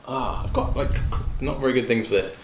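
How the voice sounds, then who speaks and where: A man's voice: medium pitch, a little fast, creaky. A man playing a tabletop game, chatting informally and jokingly as he takes his turns. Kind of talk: casual game chat. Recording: echoey, distant, noisy room recording.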